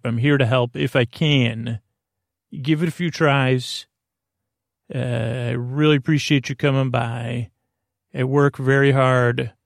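A man hosting a sleep podcast, wandering through a silly, halting bedtime monologue full of restarts and asides. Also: mid-range voice, creaky, no echo, clean studio sound. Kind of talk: rambling, meandering bedtime monologue.

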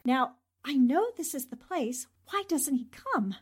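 A woman's voice: sits high, expressive, a little slow, noisy background. A woman playing a plucky, wisecracking young newspaperwoman sleuth in a 1940s-style radio drama, with a flippant, arch way of talking. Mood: muttering, wry. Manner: talks to herself, flippant, arch.

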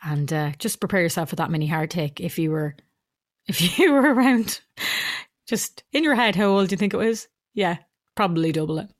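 A woman's voice: lowish voice, animated, moderate pace, no room sound, studio quality. A woman with an Irish accent, a mix of Midlands and Dublin, hosting her podcast in a chatty, rambling way with frequent laughs. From Ireland. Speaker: podcast host. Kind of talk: casual podcast intro.

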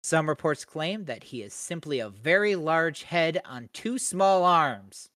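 Animated jokey man with a lively voice. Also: fairly high pitch, a little slow, dry recording, studio quality. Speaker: podcast co-host. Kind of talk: casual podcast chat, reading an excerpt aloud.

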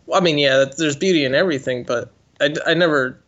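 A man's voice: a little high, quick pace, a bit bright, dull audio. A man co-hosting a film podcast in casual, joking conversation. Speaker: podcast co-host.

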